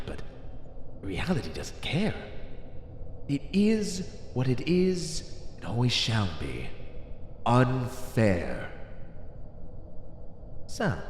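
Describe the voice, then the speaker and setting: Man acting a slow, domineering villain in echoing hall. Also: medium pitch, a little mellow. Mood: stern, challenging. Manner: deliberate, menacing, condescending, theatrical. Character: male villain warlord ruler, teasing, domineering, coldly persuasive. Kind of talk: ASMR roleplay, dramatic monologue. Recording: echoey hall reverb, faint noise.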